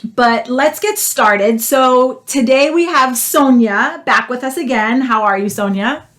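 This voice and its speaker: A woman's voice: high-pitched, unhurried, fairly bright tone. A woman hosting a political talk show, speaking earnestly with frequent "um" fillers. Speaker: host.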